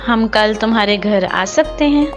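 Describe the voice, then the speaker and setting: A proud, status-minded mother in a family melodrama, acted by a woman. She declaims solemnly in a medium-pitched voice, with music clearly playing behind her. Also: a little slow, close to an everyday voice. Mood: remorseful. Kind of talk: melodramatic drama acting. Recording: prominent background music.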